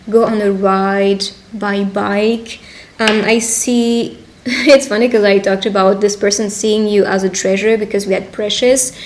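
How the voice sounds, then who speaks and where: A woman speaking conversationally and encouragingly as a witch giving a personal love reading, pausing to interpret as she goes. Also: mid-range voice, darkish tone. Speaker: tarot reader and roleplay video host. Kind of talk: intimate roleplay fortune-telling addressed to the listener.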